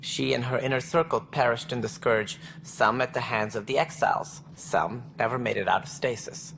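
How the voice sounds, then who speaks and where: An actor playing an officious alien station director in a video game, speaking precisely and formally with a wry, earnest streak. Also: male voice, mid-range voice, fairly even intonation, normal speed, creaky, a bit bright, slight background noise. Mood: reflective. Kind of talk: video game dialogue acting.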